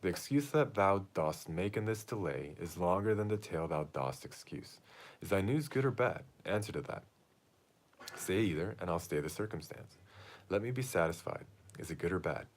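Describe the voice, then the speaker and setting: Guy doing a lovesick teen girl in shakespeare, impatient n pleading, deep voice, amateur read-aloud. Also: expressive, normal speed. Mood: exasperated.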